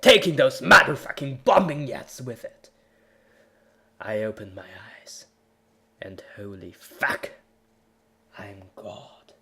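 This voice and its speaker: A man giving an over-the-top, bombastic dramatic reading of a story as an amateur narrator. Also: on the low side. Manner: theatrical, bombastic, swinging from whispers to shouts. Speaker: amateur reader of fan fiction.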